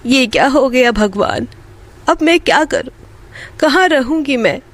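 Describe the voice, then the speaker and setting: Woman voicing a slow-talking poor old village mother. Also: sits high, animated. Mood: distraught. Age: 60s. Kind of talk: Hindi bedtime moral story dialogue.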